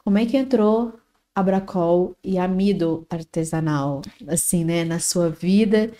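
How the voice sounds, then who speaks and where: A woman's voice: on the low side, unhurried, dark tone. A woman hosting an interview podcast, encouraging her guest with frequent affirmations in casual conversation. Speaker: podcast host.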